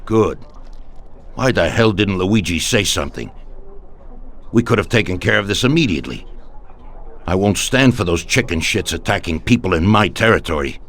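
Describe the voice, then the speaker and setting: An actor playing a commanding, ruthless older mafia boss with an authoritative, menacing manner in a video game. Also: male voice, low-pitched, animated, normal speed, pauses often, fairly bright tone. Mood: angry, commanding. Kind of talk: video game cutscene acting.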